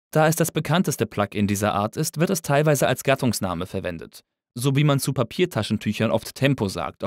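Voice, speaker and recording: A man narrating a scripted tutorial as an instructor, in a measured, explanatory way. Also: medium pitch, darkish tone, no room sound, clean studio sound.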